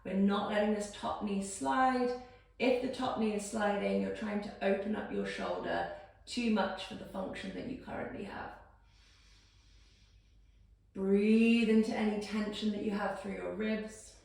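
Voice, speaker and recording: A woman guiding a posture exercise routine step by step, in a calm, instructive way. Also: mid-range voice, measured pace, gravelly, far from the mic. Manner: calm step-by-step cueing, counting down. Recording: very echoey room, voice from off-mic.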